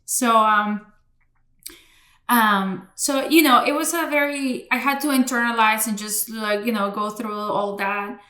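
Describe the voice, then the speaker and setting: A Colombian-born woman in her forties with an American accent, chatting as a podcast guest, quick to laugh and full of restarts. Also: fairly high pitch, steady tone, sharp tone. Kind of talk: casual interview chat. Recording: echoey room.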